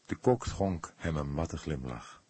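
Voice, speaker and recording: Male audiobook narrator, low pitch, very lively intonation, crime novel narration. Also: slow, slightly rough, no room sound.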